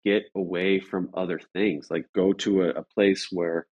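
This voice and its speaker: Low-voiced man telling a story casually. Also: fairly even intonation, highs cut off. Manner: halting, rambling, with repeated phrases. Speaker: interview guest.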